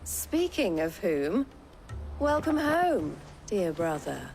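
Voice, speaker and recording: An actress playing an assured, shrewd woman who leads a trading caravan in a fantasy game, speaking in formal, gently wry, measured phrases. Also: fairly low pitch, very animated, vocal fry, full character voice. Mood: wry, fond. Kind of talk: scripted fantasy game voice acting. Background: background music.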